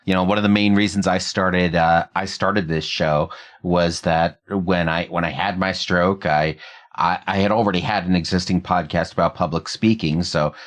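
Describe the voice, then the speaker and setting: A man's voice: low voice, steady tone, moderate pace. A man hosting a podcast in a conversational interviewing and commentary style. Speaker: podcast host.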